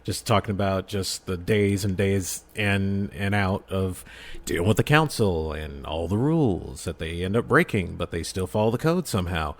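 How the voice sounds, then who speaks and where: A man in a casual podcast discussion, talking haltingly as he thinks aloud. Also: low voice, animated.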